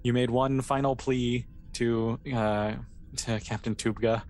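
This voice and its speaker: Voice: mid-range voice, steady tone, takes it slowly, a little mellow. A game master running a tabletop roleplay in a casual, hesitant, conversational way, explaining and reassuring as they narrate. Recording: clear background noise.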